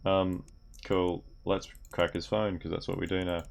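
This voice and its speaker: Young guy talking thru a game, deep and gravelly, reads stuff out loud, light keyboard clicking. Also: level tone, unhurried. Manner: mutters aloud while reading on-screen text. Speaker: gaming video creator. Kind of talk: game commentary while playing. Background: keyboard typing and clicks.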